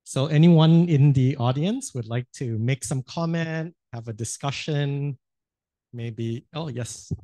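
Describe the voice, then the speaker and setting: A man moderating a panel discussion, soft-spoken and halting, often repeating words as he speaks. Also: medium pitch, dry recording.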